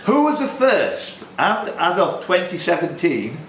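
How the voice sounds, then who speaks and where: A man's voice: high-pitched, wildly swooping pitch, measured pace, fairly bright tone. A man hosting a live quiz in a pub, reading out questions and bantering jokingly with the contestants. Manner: joking, chatty, teasing contestants. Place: indoors in a pub. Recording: roomy, noisy pub recording.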